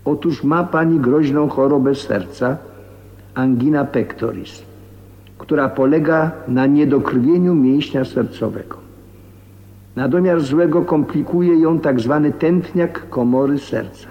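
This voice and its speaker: Grave doctor in long reverb. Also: male voice, fairly high pitch. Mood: grave, clinical. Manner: measured, grave, matter-of-fact. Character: formal, blunt male heart specialist reading his letter. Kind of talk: radio drama voice-over of a letter. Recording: muffled, cavernous reverberant radio drama recording.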